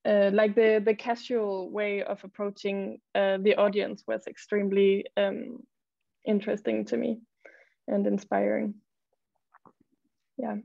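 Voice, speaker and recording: Danish woman, flat gravelly voice, video call. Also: mid-range voice, dry recording. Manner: hesitant, many fillers, reads poems slowly. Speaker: poet and model, panelist. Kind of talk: panel talk and poetry reading. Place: home room, video call. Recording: dull lo-fi video call audio.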